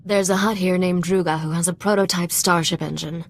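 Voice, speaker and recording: A woman's voice: fairly low pitch, fairly even intonation, a little fast. A woman playing a cool, confident smuggler's partner in a video game cutscene. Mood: cool, assured. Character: confident worldly young female smuggler's partner, cool and sly.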